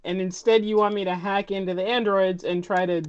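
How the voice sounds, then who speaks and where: A woman's voice: mid-range voice, steady tone, a little fast, a little mellow, clear, resonant tone, lo-fi sound, close to an everyday voice. A woman playing a skeptical, practical starship tech in an improvised role-playing game, questioning things in a dry, casual way.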